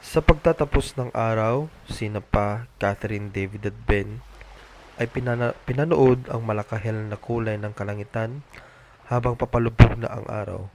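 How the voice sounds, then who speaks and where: A man's voice: fairly low pitch, normal speed, darkish tone, a little hiss or hum. A man reads a children's storybook aloud as the narrator. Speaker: storybook reader.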